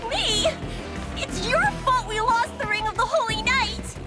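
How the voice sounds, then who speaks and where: A woman's voice: extremely high pitch, unhurried, music in the background. A woman voicing a bratty, vain young girl villain in a video game, whiny and petulant. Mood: defensive whining. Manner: whiny, petulant, swings between boasting and wailing. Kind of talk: video game cutscene voice acting.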